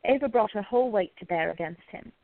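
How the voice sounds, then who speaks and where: A woman's voice: mid-range voice, fast, no echo, phone-quality sound. A woman narrating a radio play from a script.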